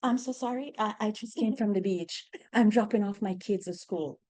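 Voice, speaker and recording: A woman's voice: medium pitch, moderate pace, mellow. A middle-aged woman from Jamaica with a Jamaican accent, speaking on a real estate panel in an emphatic way, putting rhetorical questions to the audience. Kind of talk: panel discussion.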